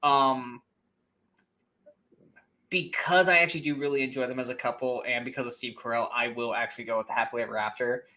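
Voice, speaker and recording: A man co-hosting a casual movie debate podcast, animated and loose, with many fillers, laughs and the odd swear. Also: mid-range voice, fairly quick. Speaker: podcast co-host.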